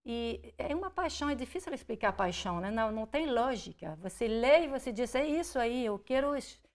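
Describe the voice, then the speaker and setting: Woman, kinda high voice, thinks out loud and restarts words a lot. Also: animated, a little fast. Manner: searching, restarts words, frequent "sabe?". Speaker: literature scholar guest. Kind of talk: reflective interview answer.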